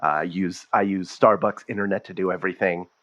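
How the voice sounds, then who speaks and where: A man's voice: sits low, steady tone, a little fast, fairly bright tone, highs cut off. A man playing a fussy, defensive husband calling an advice show, hesitant and earnest, in improvised comedy. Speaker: caller.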